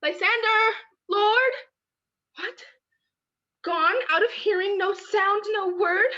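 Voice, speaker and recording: Very high, bright young heroine in a stage play, played by a woman, dull lo-fi sound. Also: animated, moderate pace. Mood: frightened. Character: young noblewoman, modest and devoted. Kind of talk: Shakespearean stage acting.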